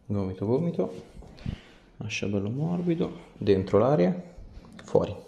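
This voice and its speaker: A man, a chiropractor giving a patient short, gentle instructions during treatment. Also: mid-range voice, very animated, a little slow, vocal fry, highs cut off. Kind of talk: hands-on patient instructions, ASMR treatment video. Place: small indoor treatment room. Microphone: small clip-on mic on his scrubs. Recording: echoey room with background noise.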